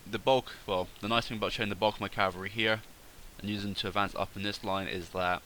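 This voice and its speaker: A man's voice: fairly low pitch, steady tone, fast talker, bright, forceful tone, slight background noise. Stuffy-nosed man rambling through game commentary. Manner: rambling, self-correcting, thinking aloud. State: slightly congested from a cold. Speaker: gaming channel host. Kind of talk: casual game replay commentary.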